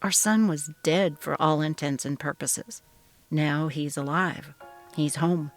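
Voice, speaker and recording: A woman playing a steady, thoughtful middle-aged father in a dramatized story, speaking in a calm, measured way. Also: low-pitched, no room sound, soft background music. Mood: reflective.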